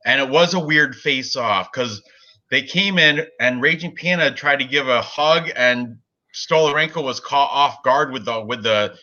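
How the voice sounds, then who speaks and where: A man's voice: mid-range voice, measured pace, sharp tone, muffled recording. A man in his forties hosting a casual sports betting chat, rambling with lots of fillers and frequent laughs. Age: 40s. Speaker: podcast host.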